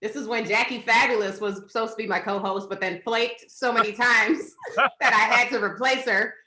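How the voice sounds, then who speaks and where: A woman's voice: fairly high pitch, fairly even intonation, moderate pace, sharp tone, echoey room, a little hiss or hum. A woman hosting a podcast over a video call, blunt and laughing often with a lot of swearing. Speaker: podcast host.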